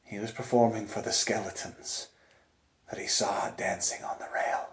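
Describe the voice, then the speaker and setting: A man telling a dramatic first-person story in a theatrical manner, moving between hushed tones and urgent cries. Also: on the low side, flat delivery, normal speed. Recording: echoey, roomy sound.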